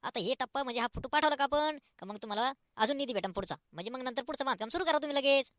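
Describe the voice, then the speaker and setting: A man playing an officious village clerk in a comic cartoon, brisk and instructing. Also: very high-pitched, a bit bright, no echo, clean studio sound. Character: officious village clerk collecting taxes and explaining rules.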